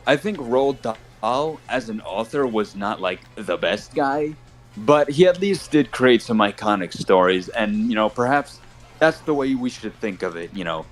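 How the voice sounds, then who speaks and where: A man's voice: mid-range voice, animated, measured pace, soft background music. A man with a mixed Colombian Spanish and Brooklyn accent, a native Spanish speaker, chatting casually while gaming and rambling with lots of "you know" fillers. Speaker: tournament host.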